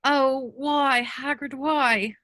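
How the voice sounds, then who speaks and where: A woman's voice: high voice, fairly even intonation, extremely slow, pushed and bright, no echo, clean studio sound, exaggerated voice. A woman playing an earnest, anxious teenage schoolgirl in a script read, concerned and pleading. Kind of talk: table read of a film script.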